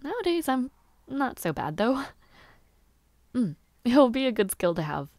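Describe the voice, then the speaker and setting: A woman playing a gentle, shy and eager young noblewoman who aspires to knighthood, chatting softly and politely in an intimate audio roleplay. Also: a little high, very animated, a little slow, no room sound, clean studio sound. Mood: bashful, hopeful. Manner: soft-spoken, chatty, polite, slightly bashful, giggly. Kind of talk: intimate audio roleplay, one-sided conversation.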